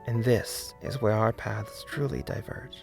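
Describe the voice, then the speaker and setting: A man narrating a scripted video essay in an earnest, deliberate way, with emphasis on key words. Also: lowish voice, fairly even intonation, vocal fry. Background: faint background music.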